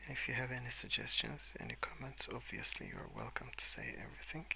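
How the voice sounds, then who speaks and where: A man speaking softly and hesitantly in a rambling ASMR style, often slipping into whispers at a desk indoors. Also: medium pitch, fast talker, vocal fry, breathy edge, low-quality recording. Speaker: host of a colouring ASMR channel. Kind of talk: soft-spoken rambling ASMR. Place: at a wooden desk indoors, with pencil sounds.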